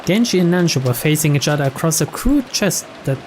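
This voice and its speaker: A man narrates story text from a visual novel, reading it aloud. His voice sits a little higher than most men's. Clear background noise runs behind him. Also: animated, a little fast. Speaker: let's player reading game text aloud.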